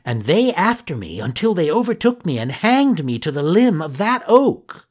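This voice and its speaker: A man doing a high eager wooden puppet boy. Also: sing-song, normal speed. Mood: earnest. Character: excitable wooden boy puppet, young, tearful.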